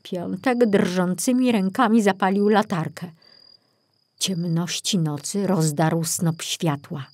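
A woman's voice: fairly low pitch, slow pace, a little mellow, no echo. A woman reading scripted narration for a children's audiobook story.

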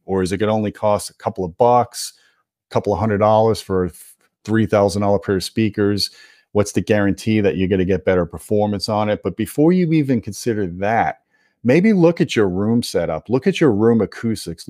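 A man with a fairly low voice hosts a gear explainer, recorded dry with very little room sound. He talks straight to viewers in a conversational, opinionated way, leaning on his key points. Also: darkish tone, no room sound. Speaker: host of an audio gear video channel. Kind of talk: explanatory product commentary to viewers.

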